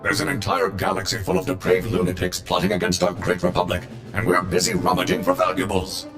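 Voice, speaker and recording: An actor playing a pompous, zealous patriotic war droid in a video game, declaiming grandly. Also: male voice, sits low, monotone, a little fast, fairly bright tone, soft background music. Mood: indignant zeal. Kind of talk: video game cutscene dialogue.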